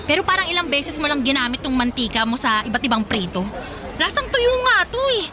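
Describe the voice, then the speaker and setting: A woman's voice: high-pitched, big pitch swings, fairly quick. An actress playing a jealous, bossy and dramatic female eatery owner in a Tagalog radio drama, with sassy theatrical delivery. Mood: smug, mocking.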